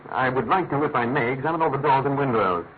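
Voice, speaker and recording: An actor playing a brisk, authoritative British private detective with a clipped, questioning manner in a classic radio drama. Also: male voice, medium pitch, natural voice. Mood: brisk, keen. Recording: noisy, narrow-band old radio recording.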